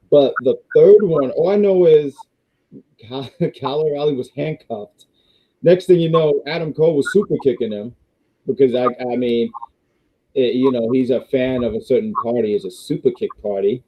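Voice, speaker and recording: A man hosts a live wrestling review stream, talking loosely and often restarting his sentences. His voice is going hoarse, and the sound is dull and lo-fi. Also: medium pitch. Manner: rambling, restarts, reads viewer chats. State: losing his voice. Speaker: wrestling review show host.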